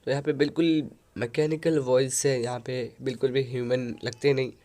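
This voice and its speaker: A man's voice: mid-range voice, flat delivery, moderate pace, warm tone. Man explaining an audio editor step by step. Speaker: tutorial host. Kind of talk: software tutorial walkthrough. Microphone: headset mic.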